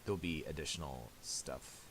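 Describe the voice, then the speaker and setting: A man presenting a casual software tutorial in a halting, mumbled way, on a hissy home recording with clicks. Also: very deep voice, rough recording.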